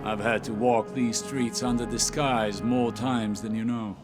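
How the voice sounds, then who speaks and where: An actor playing an austere, wise veteran mentor in a video game, speaking in a solemn, deliberate, commanding way. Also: male voice, medium pitch, fairly even intonation, music in the background, lightly acted. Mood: solemn, guiding. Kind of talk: dramatic game cutscene acting.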